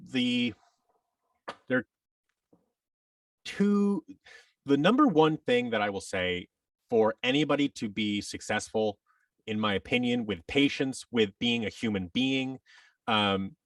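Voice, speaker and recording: A man's voice: medium pitch, expressive, takes it slowly, long pauses, dry recording, distant mic. A man giving advice as a podcast guest in a casual, rambling, emphatic way, often voicing imagined quotes as he explains.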